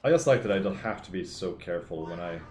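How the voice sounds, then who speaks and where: A man streaming a video game, talking casually and excitably, with a gravelly, hoarse voice from shouting. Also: deep voice, quick pace. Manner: chatty, excitable, reading lore aloud. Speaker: gaming streamer. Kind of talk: casual gameplay commentary. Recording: echoey room with faint noise.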